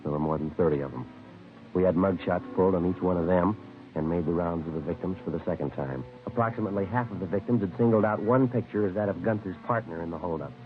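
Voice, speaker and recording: A man playing a terse, businesslike police detective with an American accent, deadpan and matter-of-fact in a vintage radio procedural. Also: sits low, fast, close to an everyday voice. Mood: flat, factual. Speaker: lead actor and narrator. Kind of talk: clipped 1950s radio police-procedural narration and dialogue. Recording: muffled vintage radio, faint music.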